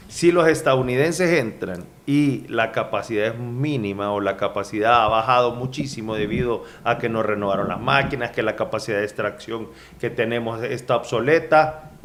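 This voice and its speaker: A man's voice: mid-range voice, a little hiss or hum. A man interviewing a guest, asking long, reasoned questions and backing him up with short affirmations.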